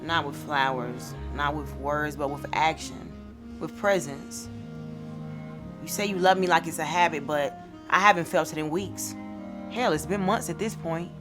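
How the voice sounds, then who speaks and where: A woman playing a wounded, quietly resentful girlfriend in a poetic, deliberate dramatic monologue. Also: deep voice, fairly even intonation. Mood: weary, hurt. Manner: poetic, deliberate, emotional delivery. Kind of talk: dramatic spoken-word monologue. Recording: music playing behind.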